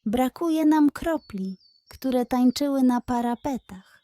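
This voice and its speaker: Woman voicing a curious, earnest little boy, soft and high. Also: expressive, slow, dry recording. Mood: earnest, wistful.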